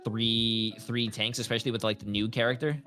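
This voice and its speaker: A man's voice: mid-range voice, flat delivery, brisk, bright, forceful tone, faint music behind. A man in his early thirties chatting casually about gaming, loose and slangy, with frequent swearing. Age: early 30s. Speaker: guest player ranking game characters.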